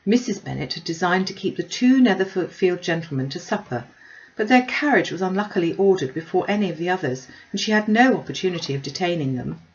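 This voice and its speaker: A woman's voice: fairly low pitch, lively intonation, fairly quick. Woman reading a classic novel, dull recording. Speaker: audiobook reader.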